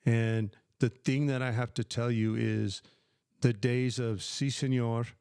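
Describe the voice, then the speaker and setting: Smooth-voiced American man, retired host. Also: mid-range voice, level tone, takes it slowly, darkish tone, no echo, studio quality. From United States. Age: older. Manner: conversational, many fillers, answers viewer questions by name. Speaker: live stream host. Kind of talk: casual live stream Q&A.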